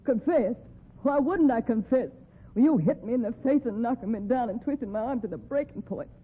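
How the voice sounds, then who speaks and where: An actress with an American accent playing a sharp-tongued, scheming cowboy's wife in old radio drama, with combative, slangy folksy speech. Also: female voice, fairly high pitch, animated, fairly quick, husky. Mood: defiant. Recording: tinny, narrow-band old radio recording.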